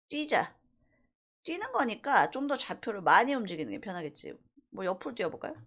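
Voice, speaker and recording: A woman instructor giving a casual software tutorial, talking through each step conversationally and checking in with short rhetorical questions. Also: medium pitch. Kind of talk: casual screen-recorded software tutorial, thinking aloud while demonstrating.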